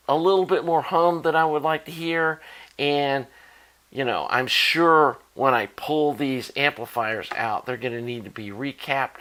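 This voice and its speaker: A man's voice: medium pitch, a bit bright. A man in his sixties talking casually and informally as he rambles through an electronics hobby vlog. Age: 60s. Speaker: electronics hobbyist and channel host. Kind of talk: casual unscripted vlog talk.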